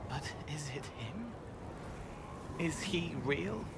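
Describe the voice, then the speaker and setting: A gentle, haunted young male spirit. He speaks very slowly and haltingly, in cryptic, poetic phrases, earnest and otherworldly. There is clear noise behind the voice. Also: fairly high pitch, expressive. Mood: troubled. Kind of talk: video game voice acting.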